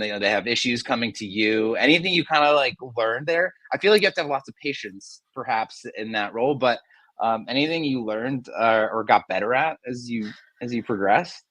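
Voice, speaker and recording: A man's voice: medium pitch, normal speed, fairly bright tone, dry recording, rough recording. A man interviewing a colleague in a conversational professional style, informal, with plenty of "kinda" and "you know". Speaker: interviewer, sales executive.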